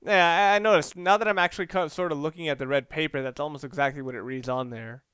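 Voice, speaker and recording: A man's voice: a little high, fairly even intonation, quick pace, a bit bright, smooth, no echo, clean studio sound. A man giving casual video game commentary, rambling along and thinking aloud as he plays. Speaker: let's play host.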